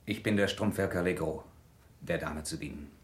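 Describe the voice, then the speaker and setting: A low, husky male voice plays a servile shopkeeper in a radio play. His is apologetic and eagerly deferential. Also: moderate pace. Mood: obsequious. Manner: obsequious, deferential. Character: servile, apologetic tradesman, humble husband. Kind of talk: theatrical German radio drama acting.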